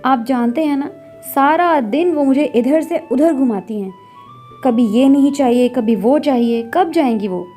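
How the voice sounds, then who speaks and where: An earnest young daughter-in-law from a family storybook drama, played by a woman. Her voice is high and soft-edged, expressive, set over music. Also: a little slow. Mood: exasperated. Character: polite, eager young daughter-in-law, growing exasperated.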